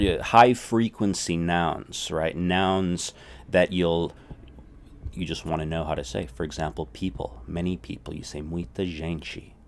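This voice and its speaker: A man teaching a recorded language lesson. His voice sits very low, and he walks through each point patiently, often checking in with "right?" as he goes. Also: gravelly, slight background noise.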